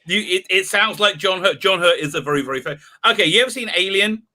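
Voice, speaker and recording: Male livestream host: very bright, piercing high voice, excitable, stammering, casual. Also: quick pace. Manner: excitable, stammering, repeats words. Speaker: talk show host.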